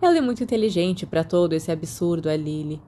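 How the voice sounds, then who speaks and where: A woman voicing a reserved, awkward, bookish teenage schoolboy in a hesitant, low-key manner for an audiobook. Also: lively intonation, brisk, mellow, slight background noise, natural voice. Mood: awkward, dry.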